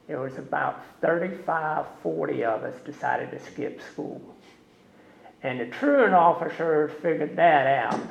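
A loud man from Norfolk, telling his personal story in a folksy way as guest speaker at a recovery meeting. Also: fairly high pitch, vocal fry. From Norfolk, USA. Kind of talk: personal testimony to a group. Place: meeting room. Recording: echoey, dull meeting-room recording.

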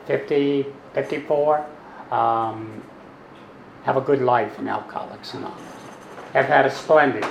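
An older man with a gravelly, rough voice and a drawl, talking quietly to a meeting. He rambles, restarts sentences and pokes fun at himself. His voice rings around an echoey hall with a faint hum of background noise. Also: mid-range voice. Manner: soft-spoken, self-deprecating, meandering with restarts. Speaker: guest speaker at a recovery meeting. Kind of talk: personal talk to a meeting.

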